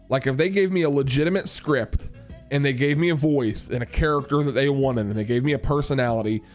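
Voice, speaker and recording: Guy streamer chatting with viewers, rambly, restarts a lot, mid pitch, some music low behind. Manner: rambling, restarts words, answers chat. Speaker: livestream host and worship leader. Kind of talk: casual livestream chat.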